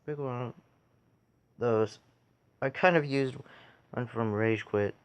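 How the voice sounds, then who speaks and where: Man, casual tutorial narration, slow pace, halting short phrases, medium pitch. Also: smooth. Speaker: tutorial video creator. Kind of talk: casual screen-recorded how-to tutorial.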